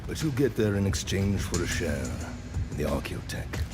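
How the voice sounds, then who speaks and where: An actor playing a scheming male trader in a video game cutscene, smooth-talking and self-serving. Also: low voice, big pitch swings, normal speed. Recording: game audio with loud music. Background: music.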